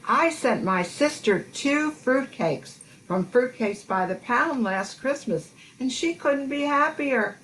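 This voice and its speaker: A woman as a high-voiced, earnest testimonial housewife. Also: expressive, slow, few pauses, fairly bright tone, a little hiss or hum, full character voice, low-quality recording. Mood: pleased, earnest. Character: pleased, earnest housewife giving a comic fruitcake testimonial. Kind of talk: amateur radio comedy ad acting.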